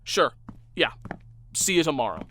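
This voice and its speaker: A man's voice: fairly high pitch, sing-song, measured pace, bright, forceful tone, slight background noise, heavily acted. An actor playing an obsessed young man who sounds tired and withdrawn. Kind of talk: audio drama dialogue.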